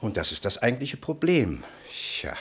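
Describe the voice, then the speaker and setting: An actor in a German radio play playing a worldly colonial traveler who tells grim tales with measured, wry delivery. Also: male voice, mid-range voice, big pitch swings, breathy edge. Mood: deliberating. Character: dry, worldly male colonial traveler telling grim anecdotes.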